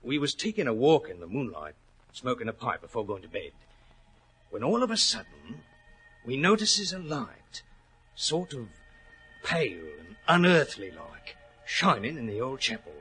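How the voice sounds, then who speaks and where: An actor playing a worried, plain-spoken racehorse trainer in a 1940s radio drama, earnest and respectful, with rustic turns of phrase. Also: male voice, medium pitch, sing-song, normal speed, bright, forceful tone, breathy edge, faint music behind, full character voice, poor audio. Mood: hushed, eerie. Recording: old 1940s radio recording.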